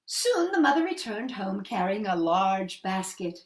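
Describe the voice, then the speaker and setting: A woman's voice: mid-range voice, sing-song, a little slow, reverberant, a little hiss or hum. A woman reading a children's story expressively, with dramatic, emphatic phrasing.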